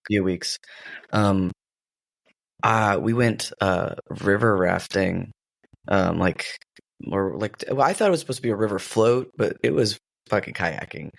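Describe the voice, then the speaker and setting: A man's voice: lowish voice, a little slow, gravelly, no echo. A man chatting as a guest on a podcast, rambling loosely and laughing often. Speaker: guest, game master. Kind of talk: casual podcast chat.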